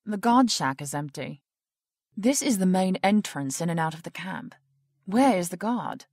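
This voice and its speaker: An actress playing a prim, rule-bound research project director in an audio drama, formal and officious. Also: female voice, lowish voice, lively intonation, a little slow, a little mellow, no room sound, clean studio sound. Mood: officious, defensive.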